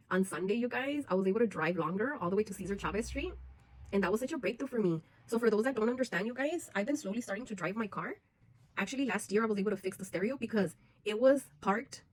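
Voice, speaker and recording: A woman's voice: mid-range voice, rough recording. A woman, talking frankly and bluntly to her viewers in a personal vlog, swearing freely. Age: 40s. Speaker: vlogger.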